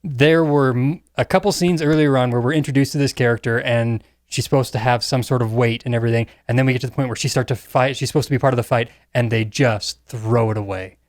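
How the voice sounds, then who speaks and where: Male podcast guest-chair voice, average pitch, casual film talk, dry studio-like closeness. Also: fairly even intonation, quick pace, hardly any pauses, no echo. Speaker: podcast panelist. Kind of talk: casual chat about movies.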